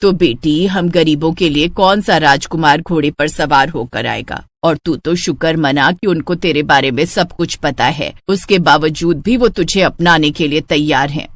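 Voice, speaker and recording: A woman's voice: sits low, moderate pace, few pauses, voice pushed down. A woman voicing a stern, practical older village mother, scolding and matter-of-fact, in a storybook tale.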